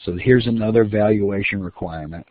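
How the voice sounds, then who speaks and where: A man's voice: on the low side, brisk, no echo. A man giving a recorded lecture as the instructor, explaining step by step with fillers and restarts. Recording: dry, phone-like lo-fi audio.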